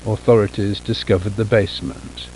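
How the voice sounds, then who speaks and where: A man's voice: lowish voice, fairly even intonation, normal speed, darkish tone, close to an everyday voice. A man playing the storyteller of a sinister children's tale, in theatrical storybook narration over a noisy, humming recording. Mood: measured, ominous. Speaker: storybook narrator of an analog horror film.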